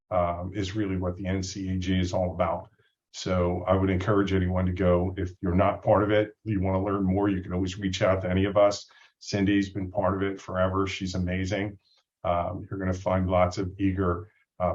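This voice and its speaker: Low-voiced man on a muffled call. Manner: enthusiastic, with frequent ums and uhs. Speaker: board president of an advisors' association. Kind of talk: casual webinar remarks. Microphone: audio only on a video call. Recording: dull lo-fi call audio.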